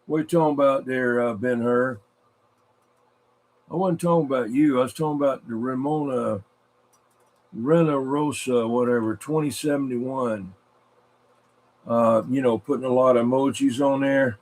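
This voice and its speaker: A man's voice: medium pitch, fairly even intonation, normal speed, pauses often, darkish tone, poor audio. A congested man hosting a casual livestream, folksy and rambling as he greets viewers and mutters to himself. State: congested, frequent coughing and throat clearing. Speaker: livestream host of a vintage radio show. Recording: clear background noise, room ambience.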